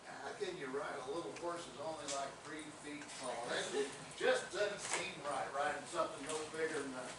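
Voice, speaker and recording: An actor playing a folksy, dim cowboy-type detective in a stage comedy, drawling and rambling with hesitations. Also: male voice, a little high, fairly quick, natural voice. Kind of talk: comic stage acting at a staged reading. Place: theatre stage, recorded from audience distance. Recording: echoey theatre, distant mic, clear noise.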